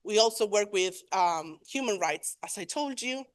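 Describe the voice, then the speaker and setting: A woman's voice: medium pitch, animated, normal speed. A woman from Argentina, giving an academic conference talk in English, hesitant, with many fillers and self-corrections. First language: Spanish. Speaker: conference presenter. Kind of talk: academic presentation.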